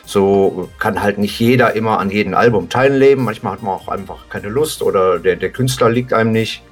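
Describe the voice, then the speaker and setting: A man's voice: fairly low pitch, brisk, breathy edge, soft background music. A man talking casually as an interview guest, explaining things in a colloquial, plain-spoken way. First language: German. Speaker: podcast guest, hobby musician.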